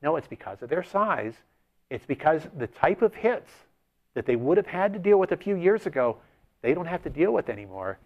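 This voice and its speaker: A man's voice: fairly high pitch, big pitch swings, a little fast, phone-quality sound. A man co-hosting a sports betting show, explaining his analysis step by step. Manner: explanatory, builds an argument step by step.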